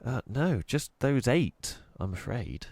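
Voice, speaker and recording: A man's voice: mid-range voice, sing-song, slow pace, a little mellow, breathy edge, no room sound, voice pushed up, full character voice. A man voicing a polite, anxious young girl in a storybook, hesitant and easily flustered. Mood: apologetic.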